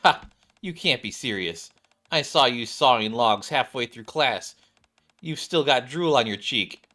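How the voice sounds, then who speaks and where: A man's voice: medium pitch, lively intonation, a little slow, pushed and bright. A man voicing a perky, teasing schoolgirl as he reads game cutscene dialogue aloud. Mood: playful teasing.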